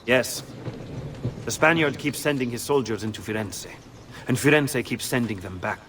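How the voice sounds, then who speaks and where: A man's voice: medium pitch, lively intonation, moderate pace, a bit bright, lightly acted. Man as grave Italian advisor, noisy background. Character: shrewd grave male Italian conspirator and advisor. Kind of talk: video game cutscene acting. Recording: outdoor ambience noise behind.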